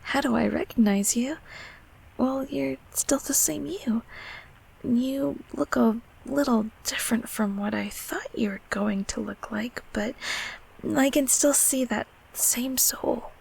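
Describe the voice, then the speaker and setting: Breathy, gentle closet creature, voiced by a woman. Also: fairly high pitch, full character voice, low-quality recording. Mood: warm, nostalgic. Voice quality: breathy, soft, half-whispered. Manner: hesitant, halting, tender. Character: gentle, shy, nurturing childhood closet creature, comforting a grown child. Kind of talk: intimate comfort audio roleplay.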